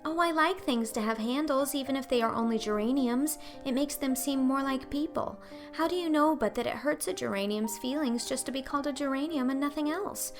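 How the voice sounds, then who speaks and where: A woman's voice: sits high, fairly quick, soft background music, voice pushed up. A woman voicing a talkative, dreamy orphan girl in a storybook reading, effusive, pleading and melodramatic. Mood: eager, pleading.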